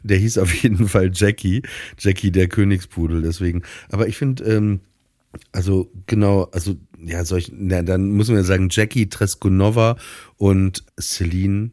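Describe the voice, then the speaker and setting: A man with a deep voice talks as a podcast co-host. He rambles casually, stumbling and restarting as he goes. The recording is close and dry, without room sound. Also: steady tone, warm tone, dry recording. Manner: halting, stumbling, self-interrupting.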